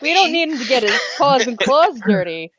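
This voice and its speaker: A woman's voice: high voice, very animated, a little fast, fairly bright tone. A woman from New England chatting casually as a player in a tabletop game, on slightly muffled audio. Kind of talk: casual chat during a game.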